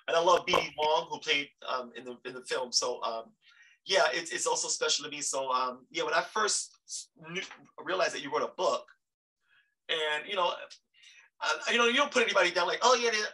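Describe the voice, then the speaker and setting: Bright, higher-pitched male talk show host. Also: distant mic, low-quality recording. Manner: effusive, emphatic, repeats words. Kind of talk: casual, chatty interview.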